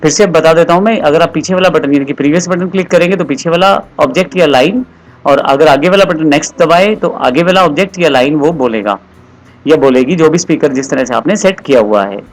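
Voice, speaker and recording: A man narrating a step-by-step software tutorial in an explanatory, instructional way, repeating his instructions. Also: on the high side, fast, low-quality recording.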